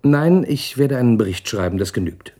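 A man's voice: mid-range voice, lively intonation, normal speed, noisy background, lightly acted. An actor playing a young policeman making polite, businesslike inquiries in a German radio crime drama.

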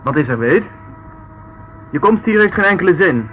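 A man's voice: sits high, animated, normal speed. An actor playing a curt, defensive nobleman in a radio drama, with a dismissive manner. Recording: dull, noisy old radio play recording.

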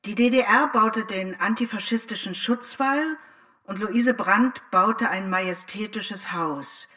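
Casting: ironic, restless stage storyteller, declamatory and deliberate, medium pitch, set back from the mic. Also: female voice, bright, forceful tone, far from the mic. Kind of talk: theatrical scripted monologue with narration. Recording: music behind, voice off the mic. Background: music.

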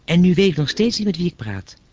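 A man's voice: sits high, big pitch swings, fast, darkish tone, dry recording, lo-fi sound. A man playing a baffled, persistent telephone caller in a radio play, questioning with irritable, incredulous curiosity. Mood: exasperated. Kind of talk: radio play acting, conversational.

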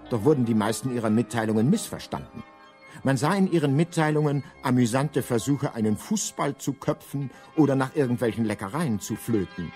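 An actor as the wry, matter-of-fact narrator of a radio play, explaining in a deadpan manner. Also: male voice, medium pitch, close to an everyday voice.

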